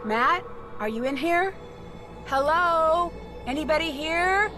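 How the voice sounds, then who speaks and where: A young female detective calls out searchingly in a high voice, drawing her words out slowly. It is video game acting, with music clearly behind her. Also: lively intonation. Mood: calling out, searching.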